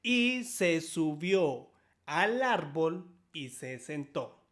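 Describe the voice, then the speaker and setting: A man teaching a sign language lesson in Spanish, upbeat and explanatory, often checking in with quick questions. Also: a little high, big pitch swings, a bit bright.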